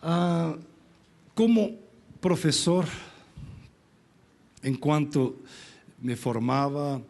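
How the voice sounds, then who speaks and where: A middle-aged man from Spain with a Spanish accent, Spanish as his first language, giving a relaxed, self-deprecating conference talk in Portuguese with frequent restarts. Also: fairly high pitch, very slow, gravelly. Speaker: lecturer.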